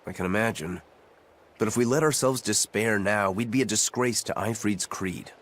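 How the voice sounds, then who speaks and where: A man's voice: on the low side, moderate pace, a bit bright, lightly acted. A man playing a wry, easygoing tough fighter in a fantasy video game, talking casually. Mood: resolute.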